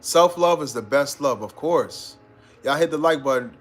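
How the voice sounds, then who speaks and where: A man's voice: on the high side, animated, normal speed, a bit bright. Laid-back New man, motivational chat. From New York. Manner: laid-back, slangy, calls listeners bro. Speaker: live-stream host. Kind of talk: casual motivational live-stream chat.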